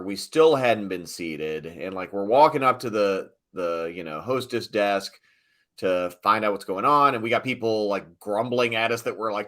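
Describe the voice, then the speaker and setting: Middle-aged male interview guest: low-pitched, bright and punchy, informal and emphatic, chatty storytelling. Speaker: sports PA announcer, interview guest. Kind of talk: casual storytelling interview chat.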